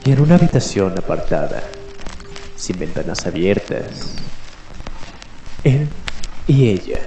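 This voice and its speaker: A man narrating a scripted radio drama in an ominous, deliberate way with halting pauses. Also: on the low side, very animated. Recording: echoey, music and rain behind.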